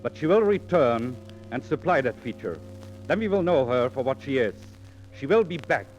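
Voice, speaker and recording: An actor playing a grim, vengeful painter with intense, declamatory delivery in 1940s radio drama style. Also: male voice, medium pitch, lively intonation, normal speed, soft background music, natural voice. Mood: grim resolve. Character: grim, vengeful male painter hunting an unseen woman. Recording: dull 1940s radio recording.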